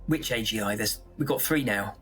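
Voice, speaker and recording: A man playing a cautious, flustered space factory manager in an improvised sci-fi drama, halting and repeating his words. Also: lowish voice, fairly bright tone, faint music behind, lightly acted. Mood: flustered, worried.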